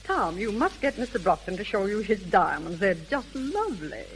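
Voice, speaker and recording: A woman's voice: medium pitch, very animated, normal speed, lightly acted. Actress playing a gushing, scheming society-mother impostor. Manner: gushing, overly eager, slangy in private. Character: scheming middle-aged female crook posing as gushing society mother. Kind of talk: 1930s radio acting. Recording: hissy, humming 1930s radio broadcast.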